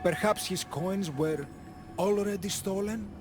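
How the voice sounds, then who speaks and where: A man's voice: sits high, a little slow, music in the background. An actor playing a fussy, peevish dead man in a video game, haughty and complaining. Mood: grudging recall. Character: fussy, indignant robbed dead man in the underworld. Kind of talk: video game dialogue acting.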